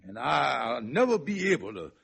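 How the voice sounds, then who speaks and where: A man playing a scheming, henpecked husband in old-time radio comedy, with a raspy voice and excitable, wheedling, folksy ungrammatical speech. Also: medium pitch, normal speed, exaggerated voice. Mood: pleading. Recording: vintage radio broadcast recording.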